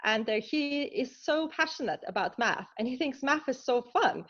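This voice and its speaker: A woman from Lithuania speaks with a Lithuanian accent and a fairly high voice. She answers interview questions earnestly, often pausing on fillers. The audio is dull and lo-fi. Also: lively intonation, fairly bright tone. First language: Russian. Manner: earnest, many hesitations. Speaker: scientist guest.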